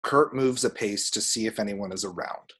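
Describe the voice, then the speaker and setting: Slightly husky man reading scene directions. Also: on the low side, moderate pace, a bit bright. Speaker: reader of screenplay action description. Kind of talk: scripted narration at an amateur table read.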